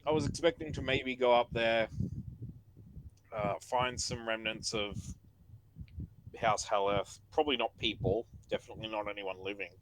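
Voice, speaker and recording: A man's voice: on the low side, fairly even intonation, moderate pace, long pauses, background noise. A man who plays in a tabletop game, chatting animatedly about his character with laughs and lots of fillers. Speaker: player. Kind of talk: casual post-session chat.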